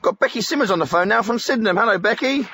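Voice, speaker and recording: A man's voice: high voice, expressive, racing, sharp tone, heavily acted. An actor playing an agreeable, awkward, inarticulate man hosting a comedy radio phone-in. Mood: chatty. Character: inane, agreeable male radio phone-in host. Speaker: host.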